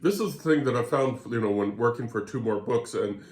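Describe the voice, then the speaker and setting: A man co-hosting a podcast in casual chat, talking in a halting, meandering way. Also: medium pitch, a little mellow. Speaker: podcast co-host. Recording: roomy echo.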